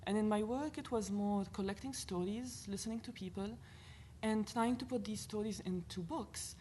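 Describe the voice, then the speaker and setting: A woman's voice: mid-range voice, moderate pace, a little hiss or hum. Earnest Lebanese-accented woman panelist. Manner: earnest, animated, thinks aloud with fillers. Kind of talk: panel discussion talk. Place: university panel event.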